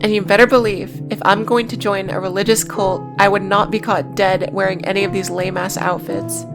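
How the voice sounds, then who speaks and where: Female video essayist, mid-pitched, scripted but casual, dry sardonic asides, music behind the voice. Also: level tone, a little fast.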